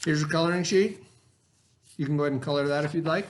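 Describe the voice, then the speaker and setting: A man's voice: a little high, a little hiss or hum. Patient male teacher explaining vocabulary. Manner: patient, explains slowly with everyday examples; checks in often with "okay" and "right". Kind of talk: recorded classroom lesson for students at home.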